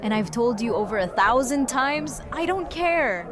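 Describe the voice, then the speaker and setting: A woman's voice: a little high, animated, a little slow. An actress playing a playful, teasing, insistent woman at a party in an audio drama. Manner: teasing, bantering. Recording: party music and crowd behind.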